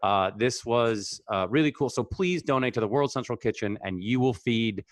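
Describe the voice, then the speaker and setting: A man giving an informal, halting sign-off and thanks to viewers over a video call. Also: mid-range voice, no echo, studio quality. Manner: halting, hesitant. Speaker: cast member thanking viewers.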